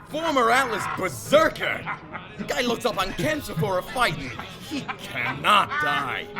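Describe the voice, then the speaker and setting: A man's voice: sits high, gravelly, exaggerated voice. A man acting as a folksy, wisecracking space-western barkeep with a drawling, theatrical delivery. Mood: salesman's relish. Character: folksy, wisecracking male space-saloon barkeep, showy salesman. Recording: music under the voice.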